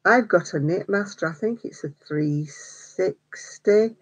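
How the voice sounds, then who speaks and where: A woman's voice: lowish voice, slow pace, husky. An older woman hosting a casual live stream, chatty and greeting viewers by name between little laughs. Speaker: crochet vlogger. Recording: slightly dull home stream audio.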